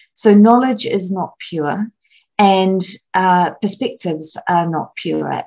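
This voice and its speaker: A middle-aged woman from New gives an online conference lecture over slides, measured and explanatory, with frequent ums and restarts. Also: fairly low pitch, gravelly, dark tone, husky, lo-fi sound. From New Zealand. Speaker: clinical academic presenting at a conference.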